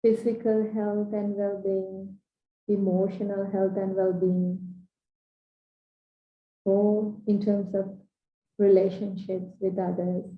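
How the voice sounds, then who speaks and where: Sri lankan lady coach, talks real slow and earnest, muffled echoey sound. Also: medium pitch, little pitch movement. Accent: Sri Lankan English. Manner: measured, earnest, pausing between short phrases. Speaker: transformational coach. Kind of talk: slow reflective training talk. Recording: dull echoey room recording.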